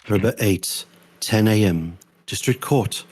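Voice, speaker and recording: Man doing a slow, low caption announcer. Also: a little mellow. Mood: solemn. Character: solemn announcer of dates and courtroom locations. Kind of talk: drawn-out caption reading in a live game dub.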